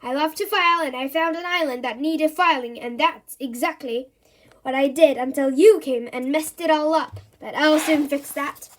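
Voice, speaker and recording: A woman voicing a booming, growling filing monster. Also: measured pace, fairly bright tone. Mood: bossy.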